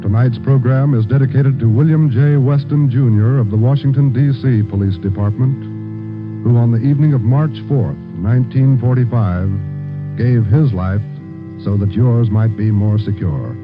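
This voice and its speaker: An American man announcing a radio drama in a solemn, measured, scripted 1940s style. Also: medium pitch. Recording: muffled old radio broadcast with music.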